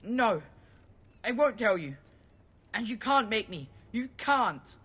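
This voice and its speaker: A woman's voice: on the high side, very animated, slow pace, a bit bright, breathy edge, exaggerated voice. A woman playing a scared but stubborn small orphaned village boy in a video game, defiant and pleading in a childlike way. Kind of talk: video game dialogue acting.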